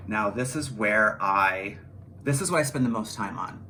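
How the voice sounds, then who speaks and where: A man's voice: fairly low pitch, normal speed, pushed and bright. A man chatting casually in an interview about his creative work, talkative and self-deprecating, with some swearing. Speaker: audio drama writer and director. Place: small home studio, indoors. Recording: roomy echo with faint background noise.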